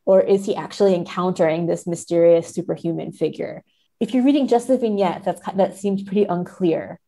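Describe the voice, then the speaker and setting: A woman's voice: fairly low pitch, brisk, dark tone. A woman, a podcast guest, talking analytically in an explanatory way and often checking in with "right?". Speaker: podcast guest, literary scholar.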